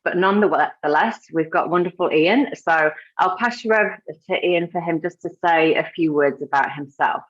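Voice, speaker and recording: A woman's voice: on the low side, moderate pace, hardly any pauses, lo-fi sound. A woman from the UK hosting a live webinar, chatty and full of fillers. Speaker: webinar host.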